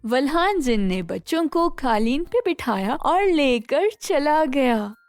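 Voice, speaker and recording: A woman narrating a scripted children's bedtime story in an expressive, deliberate way. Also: high voice, no room sound, soft background music.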